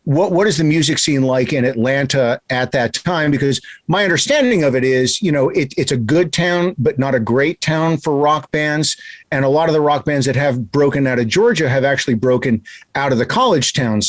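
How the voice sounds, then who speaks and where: A male radio host who asks his questions in a loose, conversational way and likes to rib his guests. His voice sits at an ordinary middle pitch, and the recording is dry and close with no room sound. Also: brisk, no room sound. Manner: joking, teasing. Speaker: radio host interviewing a band. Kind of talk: casual interview questions.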